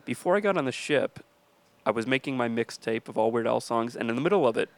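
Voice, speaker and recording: A man's voice: medium pitch, lively intonation, fast talker, no room sound. A man co-hosting a podcast with his wife, rambling through funny stories in a slightly nasal voice and breaking into silly voices. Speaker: podcast co-host. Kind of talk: casual comedic chat.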